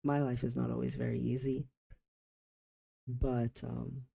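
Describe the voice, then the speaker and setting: Dark, gravelly man of forty, even-toned. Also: medium pitch. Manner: halting, reflective, short phrases with pauses. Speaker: vlogger. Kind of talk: personal reflective vlog talk.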